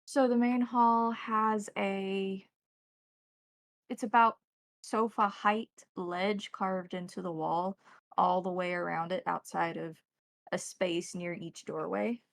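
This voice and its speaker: A woman narrating a tabletop roleplaying game as game master, setting scenes in a descriptive, measured way. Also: mid-range voice, fairly even intonation, creaky, dry recording, studio quality.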